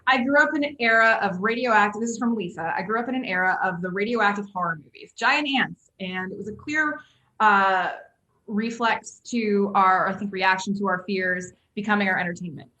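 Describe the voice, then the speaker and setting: An American woman moderates a video panel in a casual, searching way, often thinking aloud. Her voice is bright and forward, at a middling pitch for a woman. The call carries a clearly roomy echo. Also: moderate pace. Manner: informal, hesitant, thinking aloud. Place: indoor room, on Zoom call.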